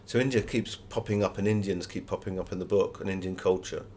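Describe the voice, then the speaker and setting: A man's voice: deep voice, fairly even intonation, a little fast, darkish tone, a little hiss or hum. A man. He speaks as an author being interviewed, answering conversationally and telling anecdotes as he goes.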